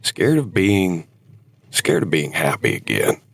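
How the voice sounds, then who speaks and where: Man acting gravelly older husband, very low voice. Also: wildly swooping pitch, a little slow, full character voice. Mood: wistful, regretful. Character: weary older husband, war veteran, regretful but devoted. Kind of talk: audio drama dialogue.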